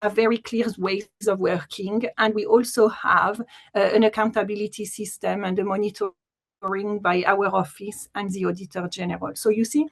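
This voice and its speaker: A woman's voice: medium pitch, little pitch movement, moderate pace, vocal fry. A woman from France with a French accent, giving an enthusiastic webinar presentation as a deputy commissioner, with frequent fillers, over a video call.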